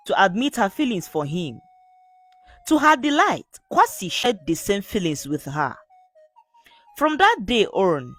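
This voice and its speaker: A woman narrates a folktale as a storyteller reading from a script. Her voice sits a little low and moves with very animated intonation. She takes her time, earnest and measured in her delivery.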